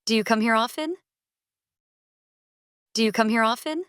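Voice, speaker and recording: A woman reading everyday English phrases clearly, each one twice, for learners. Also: a little high, moderate pace, no echo. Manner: clear, careful, even delivery. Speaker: phrase reader.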